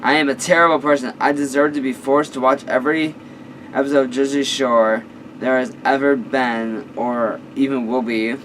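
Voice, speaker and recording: A hoarse man voicing a guilty teenage boy talking to himself in a story reading. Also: mid-range voice, level tone, moderate pace, fairly bright tone, far from the mic, slight background noise, close to an everyday voice, rough recording. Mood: panicked.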